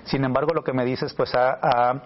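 A man's voice: medium pitch, fairly even intonation, normal speed, highs cut off. A man hosting a conversational interview program in an easy question-and-comment style. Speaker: talk show host.

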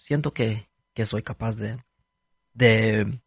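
A man's voice: fairly low pitch, steady tone, slow pace, creaky, slightly rough, no echo, highs cut off. A young man from the United States talking casually as a radio guest, hesitant, with many restarts and fillers. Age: young adult. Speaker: guest, board operator.

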